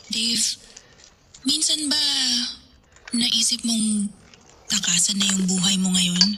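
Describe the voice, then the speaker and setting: A woman's voice: medium pitch, lively intonation, slow, very noisy. A weary, heartbroken young woman. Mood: sad. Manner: soft, tired, confiding, at times whispering. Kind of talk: radio drama acting. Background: loud dramatic music.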